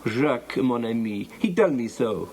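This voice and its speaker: A man voicing a gentle French boy in a children's storybook, with a French accent, searching for English words. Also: medium pitch, animated, moderate pace, close to an everyday voice. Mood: alarmed. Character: skinny, gentle French boy, fond of animals. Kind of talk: children's storybook character reading.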